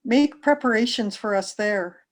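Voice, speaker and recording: A woman's voice: fairly high pitch, a little slow. A woman reading a scripture narrative aloud from a text, as a church member would for a service.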